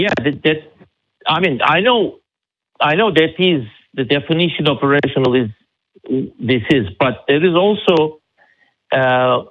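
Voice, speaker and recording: A man from Turkey with a Turkish accent, calling in to a live debate and making his point haltingly but persistently. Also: on the high side. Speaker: caller. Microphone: phone call. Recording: narrow muffled phone line.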